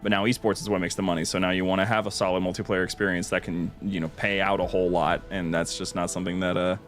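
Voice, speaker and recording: A man's voice: sits low, level tone, quick pace, fairly bright tone. Guy on a gaming stream, casual and opinionated, bit of music behind him. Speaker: stream host.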